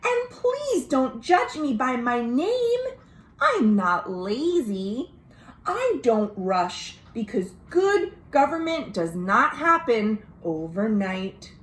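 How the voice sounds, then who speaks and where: A woman voicing an earnest, patient sloth who makes slow, drawn-out campaign speeches in a children's storybook. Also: high-pitched, reverberant, a little hiss or hum, voice pushed up, heavily acted. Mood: earnest, hopeful. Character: earnest, patient sloth running for jungle president.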